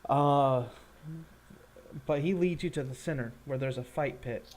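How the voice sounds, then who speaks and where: Slow-paced male game master. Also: mid-range voice, darkish tone, slight background noise. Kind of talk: improvised tabletop game narration.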